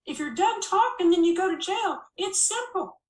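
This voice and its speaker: A man's voice: extremely high pitch, animated, normal speed, pitched above the performer's own voice. A woman narrator acts out a small-town sheriff in an audiobook, stern and folksy. He needles and talks down to whoever he is questioning, with sarcasm in a raised, pushed voice. The room rings with echo. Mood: stern pressure. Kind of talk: audiobook character dialogue. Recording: echoey room.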